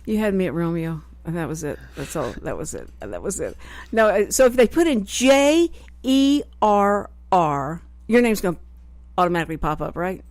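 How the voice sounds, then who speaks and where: A woman hosting a live local radio talk show, chatting in an animated way and often cutting in. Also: on the low side, moderate pace, hardly any pauses, no echo. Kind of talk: casual live radio chat. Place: radio studio.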